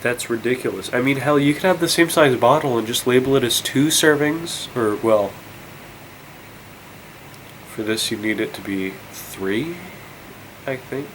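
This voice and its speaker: A man's voice: medium pitch, slight background noise, poor audio. A congested young man vlogging, rambling and incredulous, with many fillers and restarts. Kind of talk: casual rant to camera.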